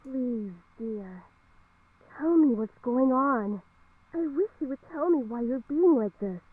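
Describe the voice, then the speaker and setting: A woman playing a worried, gently coaxing middle-aged mother in a visual novel, soft and pleading. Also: high-pitched, lively intonation, slow, breathy edge, no echo, exaggerated voice. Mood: gentle concern. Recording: thin, phone-like game audio.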